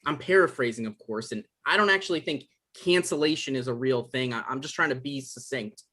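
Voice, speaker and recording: Man playing whiny, argumentative male novelist, husky edge, quick to anger, table-read drama. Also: medium pitch, bright, forceful tone. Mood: sheepish. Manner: argumentative, exasperated. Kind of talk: live table read of a screenplay.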